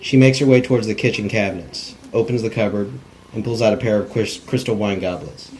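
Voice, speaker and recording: Casting: non-actor man as script narrator, lowish, plain, unrehearsed, slight background noise. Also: a little fast, darkish tone, rough recording. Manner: plain, stumbles over words. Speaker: reader of stage directions. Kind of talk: scripted narration, amateur cold read.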